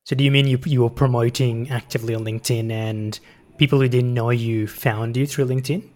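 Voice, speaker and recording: Guy hosting an interview, medium pitch, chatty questions. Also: fairly even intonation, fairly quick, darkish tone. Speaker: interviewer, host.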